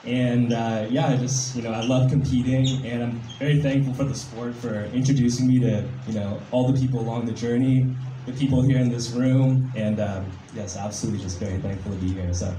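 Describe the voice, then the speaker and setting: A man with a very dark, mellow voice introduces himself in a relaxed, thankful way. The room around him rings, with faint background noise. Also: mid-range voice, brisk, echoey room, rough recording. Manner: grateful and relaxed. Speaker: athlete. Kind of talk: self-introduction.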